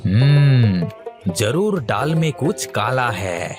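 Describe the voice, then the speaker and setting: A voice actor playing a wary, suspicious cartoon companion with a measured, doubtful manner. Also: mid-range voice, big pitch swings, music in the background. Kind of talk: Bengali cartoon story dialogue.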